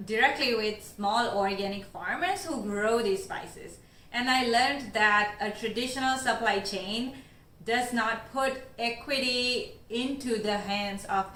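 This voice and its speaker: A woman's voice: fairly high pitch, bright, forceful tone, roomy sound. Sri Lankan-born woman, spice founder. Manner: earnest, measured explanations with occasional fillers. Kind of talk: scripted-sounding interview answers.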